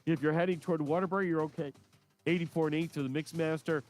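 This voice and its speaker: Stuffy-nosed man reading fast traffic. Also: a little high, fairly even intonation, darkish tone, soft background music. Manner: brisk, clipped delivery. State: stuffed-up nose. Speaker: traffic reporter. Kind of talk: rapid traffic report.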